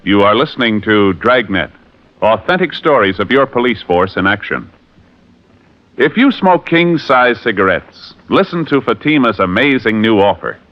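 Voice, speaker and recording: A man's voice: lowish voice, animated, normal speed, faint music behind. An American man announcing scripted 1950s radio advertising with emphatic sales delivery. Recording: muffled old radio recording.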